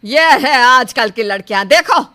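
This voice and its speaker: High, sharp-voiced haughty mother-in-law played by a woman. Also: animated, a little slow, full character voice. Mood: indignant, scornful. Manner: condescending, bossy. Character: greedy, haughty middle-aged mother-in-law, scolding and demanding. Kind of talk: dramatised Hindi moral story acting.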